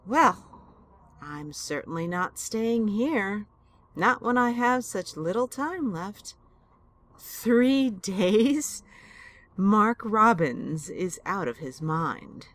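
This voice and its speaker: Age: older. Figure: a woman playing a stubborn, worried old wife. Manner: slow, animated, pleading and protesting. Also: mid-range voice. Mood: determined. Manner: pleading, then defiant.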